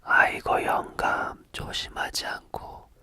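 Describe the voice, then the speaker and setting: A man's voice: slow, sharp tone, dry recording. A man whispering the lines of an old scholar's distressed wife in a folk tale. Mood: lamenting.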